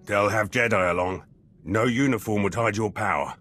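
An actor playing a cocky, battle-hungry Imperial lieutenant in a video game, talking in gruff, clipped, terse phrases. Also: male voice, on the low side, normal speed, fairly bright tone, soft background music. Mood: eager.

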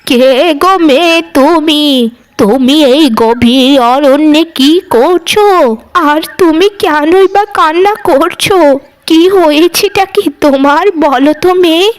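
A woman's voice: sits high, slow. A woman voicing an inquisitive old woman of the forest in a cartoon fairy tale, with a probing manner. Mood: curious, concerned.

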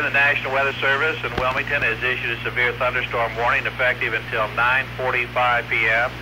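A male weather radio announcer with a mid-pitched voice reads a scripted severe storm warning. The 1990s broadcast recording has clear noise and hum behind him.

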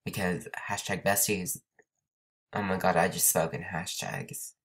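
A young woman with a stuffed-up, sick-sounding voice talks her way through a game in a rambling, chatty way. Her voice sits low for a woman. She takes her time, dropping plenty of "like" and "um" along the way. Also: measured pace, gravelly. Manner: chatty, rambling, says "like" and "um" often. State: stuffed up from a cold. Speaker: let's play host. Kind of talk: casual gameplay commentary.

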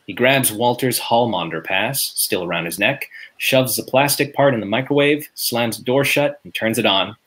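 A man's voice: mid-range voice, moderate pace, few pauses, a bit bright. A man reading scripted stage directions as narrator at a table read.

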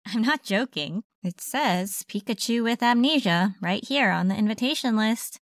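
A woman's voice: on the high side, lively intonation, moderate pace, no echo, studio quality. A woman voicing a sensible, candid fox assistant in a comic dub, matter-of-fact and reasoning. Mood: informative. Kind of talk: fan comic dub voice acting.

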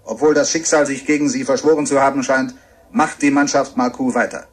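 A man's voice: medium pitch, fairly even intonation, a little fast, slightly rough, close to an everyday voice. A man acting an earnest radio reporter delivering a report on location in a radio drama. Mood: earnest, dramatic.

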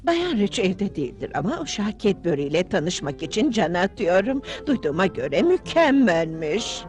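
A woman playing a genteel, excitable society lady in a dubbed cartoon, with a dramatic, gushing manner. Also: medium pitch, normal speed, close to an everyday voice.

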